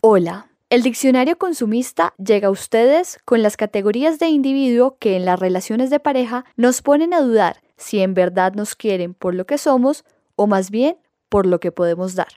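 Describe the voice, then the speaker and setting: A woman's voice: a little high, lively intonation, moderate pace, mellow, dry recording, clean studio sound. A woman with a Colombian accent presenting a scripted radio segment.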